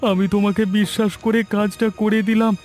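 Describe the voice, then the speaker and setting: A man's voice: high voice, level tone, brisk, darkish tone, faint music behind, lightly acted. A man voicing a scheming but gullible young village errand man in a cartoon, wheedling and plaintive. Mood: betrayed, aggrieved. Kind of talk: Bengali cartoon voice acting.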